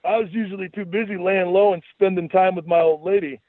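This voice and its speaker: A man's voice: high-pitched, steady tone, fairly quick, no room sound, voice pushed up, close to an everyday voice. A man voicing a wild-eyed, crude drunken man in a put-on goofy Scottish accent, bellowing and taunting. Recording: tinny phone-like radio sound.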